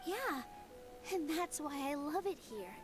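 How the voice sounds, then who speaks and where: A sweet young dancer from an anime game. Her voice is high, gentle and earnest, with music playing clearly behind it. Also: animated, takes it slowly. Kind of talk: anime video game voice acting.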